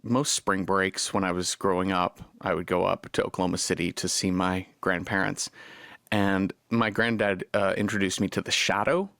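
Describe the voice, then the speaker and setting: A man's voice: deep voice, level tone, normal speed, a bit bright, no echo, studio quality. A man speaking reflectively in an interview as a writer and creator.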